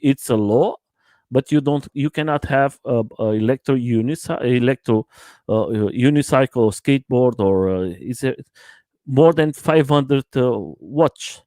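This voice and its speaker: Hesitant man from Portugal, halting English. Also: medium pitch, warm tone, dry recording. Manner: halting, searching for words, many fillers. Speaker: guest. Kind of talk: casual live stream chat.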